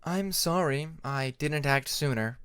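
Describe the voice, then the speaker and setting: A man voicing a quiet, kind young female sea dragon in an audiobook, gentle and apologetic. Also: fairly high pitch, unhurried, smooth, no room sound, clean studio sound.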